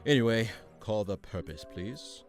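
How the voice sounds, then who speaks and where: A man's voice: lowish voice, animated, measured pace, faint music behind. A man playing a weary, pompous supervillain mastermind running a meeting in a comedic radio play, businesslike and dryly exasperated.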